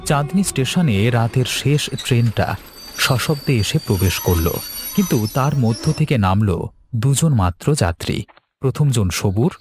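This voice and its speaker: Lively dramatic male storyteller. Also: medium pitch. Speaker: narrator. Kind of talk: scripted ghost story narration.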